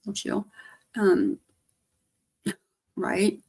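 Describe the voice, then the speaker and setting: Hoarse, gravelly woman rambling on stream. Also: mid-range voice, very animated, extremely slow. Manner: rambling, trailing off, soft-spoken. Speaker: livestreamer. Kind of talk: casual livestream chat.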